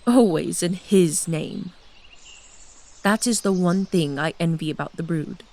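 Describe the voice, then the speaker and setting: A silky centuries-old blood queen. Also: on the low side. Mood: earnest. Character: ageless vampire queen, seductive, scheming. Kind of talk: full cast audio drama.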